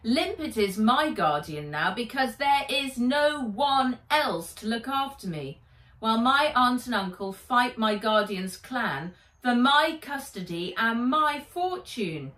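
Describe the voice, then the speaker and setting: A woman's voice: on the high side, animated, slow, fairly bright tone, voice pushed up. A proud, fiery orphan girl at boarding school, played by a woman reading aloud. She speaks earnestly and emphatically, in a roomy-sounding storybook reading. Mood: angry, hurt. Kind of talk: children's book read-aloud.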